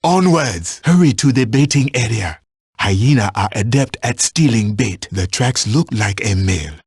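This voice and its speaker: A man playing a watchful African safari hunting guide in a video game, giving instructions in measured, formal phrasing. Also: fairly low pitch, animated, slightly rough, no room sound, clean studio sound, full character voice. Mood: urgent, then calm, watchful. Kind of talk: scripted video game voice lines.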